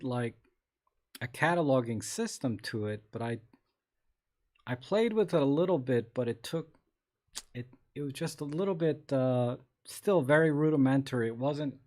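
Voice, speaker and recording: A man chats casually on a live stream, reading out viewer questions and answering them. He rambles a bit and restarts his sentences often. His voice has a slight scratchiness and sits at a medium pitch. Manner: rambling, relaxed, many restarts and fillers. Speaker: host of a live photography Q&A stream.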